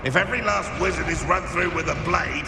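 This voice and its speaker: An actor playing a bloodthirsty male dark wizard who sneers at restraint. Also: fairly high pitch, a little fast, creaky, noisy background. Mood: sneering. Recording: echoey, from far off, music behind.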